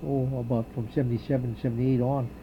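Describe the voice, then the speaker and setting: An older man from Hawaii answers interview questions, his voice gravelly with a rough edge of fry. He talks in a halting, reflective way, often pausing and starting over. The recording is muffled, with a faint hiss underneath. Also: mid-range voice, little pitch movement. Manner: halting, reflective, many pauses and restarts. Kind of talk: oral history interview.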